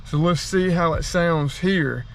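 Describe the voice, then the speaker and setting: A man's voice: a little high, measured pace, gravelly. An American man giving a casual hands-on demo, talking straight to viewers in a folksy way. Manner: folksy, addresses viewers directly. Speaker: outdoors channel host and radio operator. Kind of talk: casual hands-on demonstration vlog.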